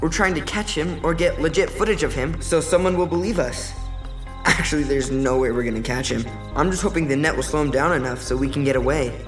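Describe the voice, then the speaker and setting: A man's voice: a little high, fairly quick, soft background music, lightly acted. An actor playing an earnest, nervous teenage boy adventurer in an audio drama. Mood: anxious, earnest.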